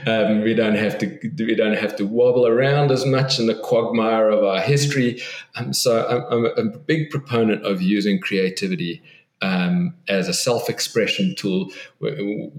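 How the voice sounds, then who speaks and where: Earnest man in an echoey room. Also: fairly low pitch. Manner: thoughtful, earnest, frequent restarts and repetitions. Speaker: guest author. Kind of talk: podcast interview.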